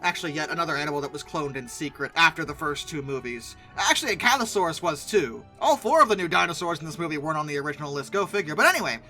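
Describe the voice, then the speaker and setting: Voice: mid-range voice, animated, very fast, few pauses, sharp tone. A video host giving casual scripted commentary in a conversational, wry way, with playful emphasis and asides. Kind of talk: casual scripted commentary rating film dinosaurs. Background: background music.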